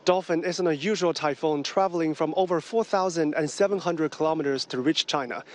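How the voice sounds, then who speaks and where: A male reporter delivering a formal news report in a measured way. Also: fairly high pitch.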